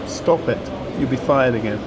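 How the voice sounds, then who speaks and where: A man's voice: mid-range voice, normal speed. A man hosting a restaurant review vlog, chatting casually and teasing his friends at the table. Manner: teasing, joking banter. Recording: loud street noise behind.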